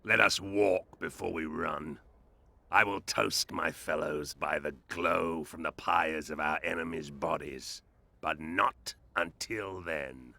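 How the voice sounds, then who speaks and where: A man playing a grim, distrustful judge in a fantasy video game, gravelly and slow, stern and guarded in manner. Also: rumbling low, steady tone, bright, forceful tone, heavily acted. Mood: grimly wary. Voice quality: gravelly, creaking.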